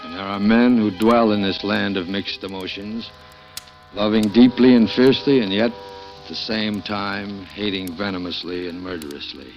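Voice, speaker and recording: A man's voice: fairly even intonation, normal speed, close to an everyday voice. A man playing a brooding jealous husband. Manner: low, confiding first-person narration. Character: brooding jealous husband narrating his scheme. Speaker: narrator and lead. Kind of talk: 1940s radio drama acting. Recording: dull old radio broadcast with music.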